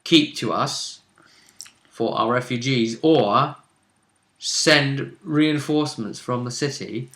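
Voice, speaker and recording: A man in casual chat about a tabletop game, speaking thoughtfully and drawing out his words. His voice is bright and sharp. The recording carries a roomy echo. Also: medium pitch. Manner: thoughtful, with frequent restarts and drawn-out words. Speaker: game master and co-commentator.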